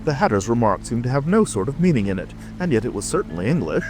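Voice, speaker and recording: A man's voice: medium pitch, big pitch swings, fast, darkish tone. A man reading scripted story narration as an audiobook narrator. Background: music.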